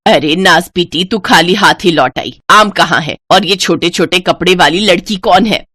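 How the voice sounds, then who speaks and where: A woman's voice: lowish voice, normal speed, pushed and bright, slightly rough, no room sound. Cruel, bossy mother-in-law for a moral story, played by a woman narrator, harsh and taunting, dry close recording.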